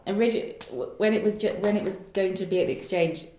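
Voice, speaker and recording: A woman's voice: mid-range voice, fast, mellow, roomy sound, slight background noise, rough recording. A woman playwright answering interview questions earnestly, searching for her words and laughing lightly now and then.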